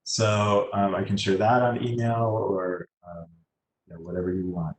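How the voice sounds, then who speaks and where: In an echoey room with faint noise behind him, a man gives informal career advice in a low voice. He speaks haltingly, as a guest on a video call, and often lets phrases trail off. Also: fairly even intonation. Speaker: guest, landscape designer and choral musician.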